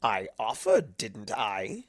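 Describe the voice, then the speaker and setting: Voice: mid-range voice, huge pitch swings, takes it slowly, no room sound, clean studio sound. The storyteller voicing a sly, mischievous male spirit of chaos, smug and theatrical, in a cartoon fan story. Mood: smug, scheming.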